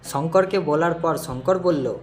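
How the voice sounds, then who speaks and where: A man's voice: a little high, a little slow, faint music behind. A man narrating a scripted cartoon story.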